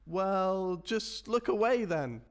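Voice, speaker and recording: Man playing an eager, high-voiced puppet sheriff. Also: lively intonation, slow pace, exaggerated voice. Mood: eager, hopeful. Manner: naive, eager to please. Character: eager, earnest small-town sheriff in a puppet musical. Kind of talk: theatrical puppet show dialogue.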